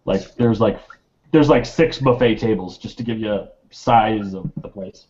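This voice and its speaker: A man's voice: on the low side, moderate pace, darkish tone, lo-fi sound. A man in a tabletop roleplaying game, casually describing the scene and thinking aloud in a hesitant way.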